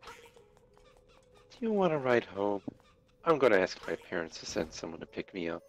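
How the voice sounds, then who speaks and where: A nonchalant, teasing young woman from an anime story, acted out by a man. The pitch moves around a lot in this amateur reading, with soft music behind. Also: very animated, unhurried. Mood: cool. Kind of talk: amateur character reading of fan fiction. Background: background music.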